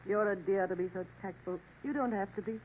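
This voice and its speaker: An actress playing a sorrowful young woman in a 1950s radio drama, gentle and hesitant. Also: mid-range voice, brisk, lightly acted. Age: young adult. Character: sorrowful young woman working a Paris café. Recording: tinny old radio broadcast, faint hiss.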